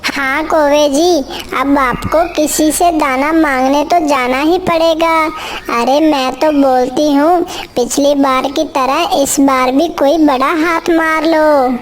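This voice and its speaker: A sweet little female bird from a children's cartoon, played by a woman, with a very high voice. She speaks slowly and earnestly, over faint background noise. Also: steady tone. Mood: urging. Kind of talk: children's cartoon character dialogue.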